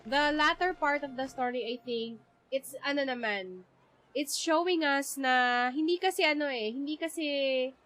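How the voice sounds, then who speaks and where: A woman with a Filipino accent giving casual live game commentary, halting as she thinks aloud. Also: fairly high pitch, fairly bright tone, poor audio. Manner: thinking aloud, halting, switching between English and Tagalog. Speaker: game streamer. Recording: game music underneath.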